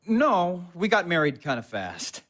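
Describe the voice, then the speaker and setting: A man's voice: high voice, huge pitch swings, a little slow, fairly bright tone, heavily acted. An actor playing an earnest, bookish young husband in an audio play, hesitant and apologetic as he tries to comfort. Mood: wistful, confiding. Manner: hesitant, self-correcting, apologetic. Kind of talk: naturalistic audio play dialogue.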